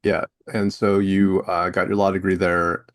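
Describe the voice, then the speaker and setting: Low-voiced male podcast host. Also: level tone, moderate pace. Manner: conversational, sums up and leads into questions. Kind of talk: casual interview talk.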